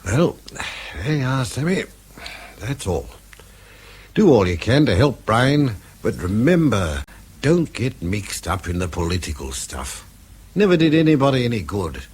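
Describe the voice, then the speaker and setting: Kindly old British chief, husky and animated. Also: male voice, medium pitch, unhurried. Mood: genial, reassuring. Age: older. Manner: genial, fatherly, reassuring. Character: kindly, avuncular old outgoing section chief. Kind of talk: British radio drama acting.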